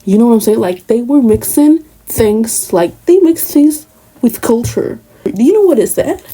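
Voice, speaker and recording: A woman's voice: a little high, expressive, normal speed, mellow, breathy edge, poor audio. A young woman from Spain with a Moroccan family background, talking earnestly and casually to camera with many asides. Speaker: vlogger. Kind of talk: personal talk to camera.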